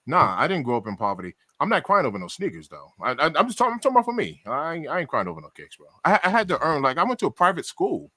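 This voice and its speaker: A man's voice: fairly high pitch, huge pitch swings, very fast, few pauses, pushed and bright, dry recording. A man with a New York accent chatting casually on a livestream, emphatic and slangy, often repeating himself for effect. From Queens, New York, USA. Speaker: podcast panelist.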